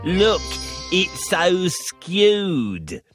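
A wicked sprite master gloats over his evil in a children's storybook. He speaks very slowly and theatrically, with lively swings of pitch, over loud music. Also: full character voice. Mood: gleeful disgust.

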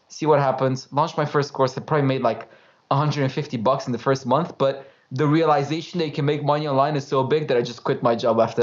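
Chatty young man over call audio. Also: medium pitch. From Romania. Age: young adult. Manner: chatty, laughs easily, loose and informal. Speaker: guest, course creator. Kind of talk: casual interview storytelling. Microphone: headphones, video call. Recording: slightly dull call audio.

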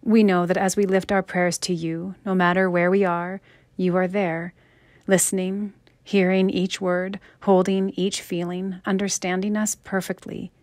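A middle-aged woman minister delivering a sermon or prayer in a measured, earnest way, in short phrases. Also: fairly low pitch, little pitch movement, a little mellow. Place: church sanctuary, at the pulpit.

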